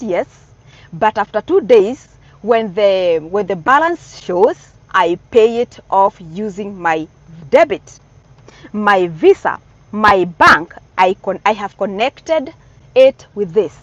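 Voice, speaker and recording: A woman's voice: medium pitch, no echo, dull audio. A middle-aged woman from Kenya with a Kenyan accent, earnestly giving casual advice in a vlog, repeating her warnings for emphasis. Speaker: vlogger giving advice to newcomers.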